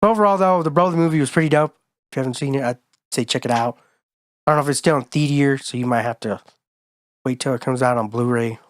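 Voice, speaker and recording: Hoarse laid-back man, dry sound. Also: medium pitch, quick pace, dry recording. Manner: laid-back, rambling slangy talk. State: hoarse from being sick. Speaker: podcast co-host. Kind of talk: casual chat.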